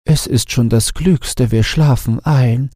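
A man voicing a resigned, sleepy garden lawn that gently complains in a bedtime fairy tale. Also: mid-range voice, slow, no room sound, clean studio sound, voice pushed up. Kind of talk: bedtime storybook character voice.

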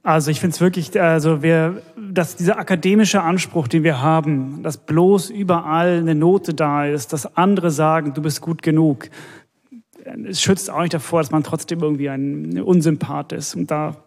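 A man's voice: fairly high pitch, steady tone, normal speed, creaky. A man on a discussion panel speaks emphatically. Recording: reverberant hall.

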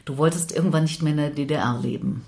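A woman's voice: deep voice, quick pace, vocal fry, darkish tone. A woman in her sixties from Thuringia, hosting a podcast interview with curious, easy questions. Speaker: podcast host. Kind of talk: conversational interview.

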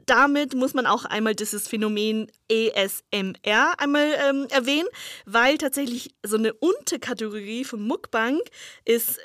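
A woman speaking as an expert guest in a casual chat, explaining at length with frequent filler pauses. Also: on the high side, a bit bright, no room sound, clean studio sound.

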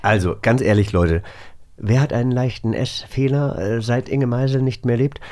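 A man's voice: mid-range voice, moderate pace, mellow. A man hosting a casual podcast, chatting in an enthusiastic way. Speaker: podcast host. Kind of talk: casual talk show chat.

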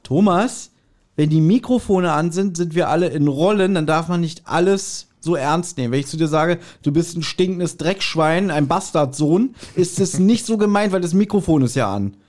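A man with a fairly high-pitched voice hosts a casual podcast. He talks in long, rambling anecdotes, delivered with mock grievance as easy conversational chat. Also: normal speed, darkish tone. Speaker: podcast host.

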